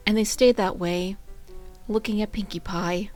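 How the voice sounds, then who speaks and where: A woman reading a fan fiction story aloud as an expressive narrator. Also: medium pitch, unhurried, soft background music.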